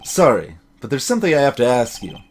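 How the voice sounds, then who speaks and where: A man voicing an earnest, determined young defense lawyer from a video game in a serious, measured way. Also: mid-range voice, wildly swooping pitch. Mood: apologetic.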